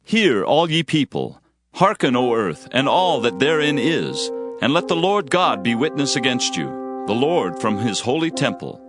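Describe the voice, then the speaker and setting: A man's voice: medium pitch, sing-song, moderate pace, fairly bright tone. A man playing a grave Hebrew prophet who proclaims judgment and lament in solemn, declamatory scripture phrasing for a dramatized audio Bible. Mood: solemn warning. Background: background music.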